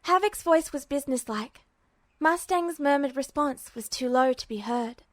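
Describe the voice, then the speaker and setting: A woman with a fairly high voice narrating a story in a steady, storytelling manner. The recording is close and dry with no room sound. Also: moderate pace, dry recording. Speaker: podfic reader. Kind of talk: scripted fiction narration.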